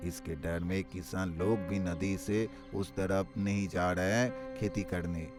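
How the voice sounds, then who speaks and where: A man playing a troubled village headman with a measured, authoritative manner in an animated story. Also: low-pitched. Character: troubled, deliberate village headman taking charge. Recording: loud music behind the voice.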